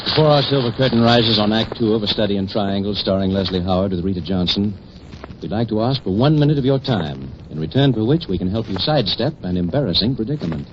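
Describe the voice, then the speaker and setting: A man announcing a radio drama in scripted 1940s style, formal and measured with a dramatic touch. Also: fairly low pitch, animated, background noise. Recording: dull 1940s radio broadcast, music behind.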